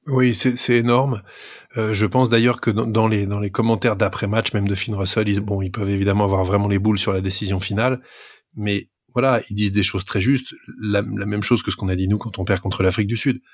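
A man's voice: lowish voice, fairly even intonation, fairly quick, no echo, clean studio sound. A man co-hosting a sports podcast, talkative and emphatic as he gives his opinions in casual discussion. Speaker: rugby podcast co-host.

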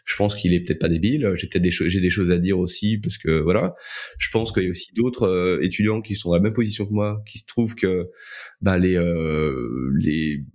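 A young man with a low-pitched voice speaks as a guest in a casual interview. He tells his story in a hesitant way, often repeating words as he looks for the next one. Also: moderate pace, darkish tone. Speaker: interview guest, co-founder of a digital media outlet.

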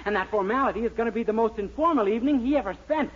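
A man's voice: very high-pitched, rapid-fire. An actor playing a naive, scheming, eager young American man in a 1940s radio sitcom. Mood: scheming, excited. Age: young adult. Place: radio studio. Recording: muffled, hissy 1940s radio recording.

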